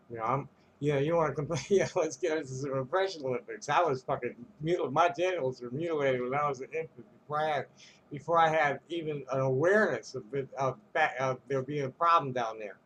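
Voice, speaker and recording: A man of ordinary middle pitch vents straight to camera in a loose, rambling rant. He curses freely, trips over restarts and breaks into little chuckles, with a faint hum of noise behind him. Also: a little fast, fairly bright tone, poor audio. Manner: rambling, profane, many restarts and stumbles; chuckles between phrases. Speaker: vlogger.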